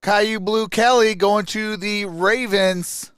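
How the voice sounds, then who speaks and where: A man's voice: high voice, fairly even intonation, takes it slowly, a bit bright, clear, resonant tone, no echo, clean studio sound. A man doing casual live-stream sports commentary as host, talking informally to his viewers. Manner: informal, addresses viewers as y'all.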